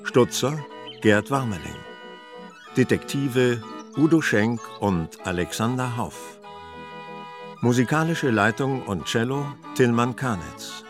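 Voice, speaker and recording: A man reading scripted radio drama credits as an announcer, in a measured, formal manner. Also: medium pitch, expressive. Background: string music, violin.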